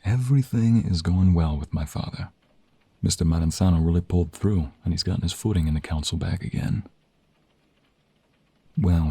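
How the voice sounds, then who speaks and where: A man performing an ASMR romantic roleplay as an affectionate, teasing mobster husband and young father, in a breathy, husky voice with intimate, drawn-out delivery. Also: deep voice, warm tone, dry recording. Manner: intimate, drawn-out, playful delivery with sighs and murmurs.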